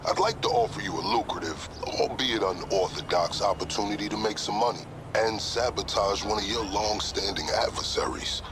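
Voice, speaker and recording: An actor playing a verbose, sardonic police recruit and schemer in a video game, speaking in pompous, formal phrases. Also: male voice, fairly low pitch, animated, normal speed, hardly any pauses, noisy background, close to an everyday voice. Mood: formal, pleased. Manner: pompous, wordy formal diction. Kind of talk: scripted video game cutscene acting.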